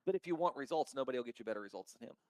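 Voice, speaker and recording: A loud, outspoken man talks bluntly in a casual chat and swears freely. His voice sits in an ordinary middle pitch. The sound is dry and close, with no room echo. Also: lively intonation, very fast. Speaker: crypto token founder.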